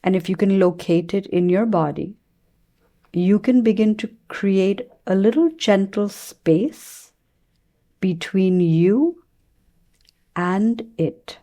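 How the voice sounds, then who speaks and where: A woman leading a guided meditation as a teacher, speaking gently and soothingly with long pauses between phrases. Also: medium pitch, lively intonation.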